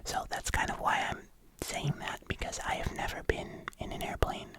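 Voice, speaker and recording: A young adult ASMR creator whispering a rambling voiceover into a binaural mic, congested, with clicky mouth sounds and many fillers. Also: normal speed. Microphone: binaural microphone.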